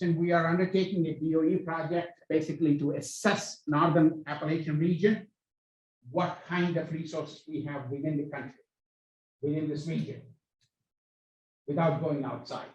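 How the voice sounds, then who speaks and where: A man presenting at an academic panel, with a voice a touch higher than most. He is recorded from across an echoey hall, and he restarts and repeats his phrases as he goes. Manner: speaks in halting, repeated phrases. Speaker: panel moderator and researcher. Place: university hall. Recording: echoey hall, distant mic.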